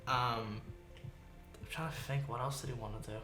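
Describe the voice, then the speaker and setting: A man co-hosting a casual podcast, speaking in a halting, blunt way peppered with filler words. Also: mid-range voice, steady tone, pushed and bright. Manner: halting, heavy use of "like", blunt swearing. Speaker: podcast co-host. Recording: echoey room, music underneath.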